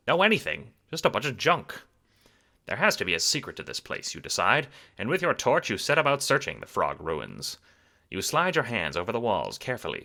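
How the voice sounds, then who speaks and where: Animated, forceful man narrating a story. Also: lowish voice. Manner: theatrical, wry, expressive. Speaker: narrator and writer of an audio drama. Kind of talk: dramatic scripted second-person narration.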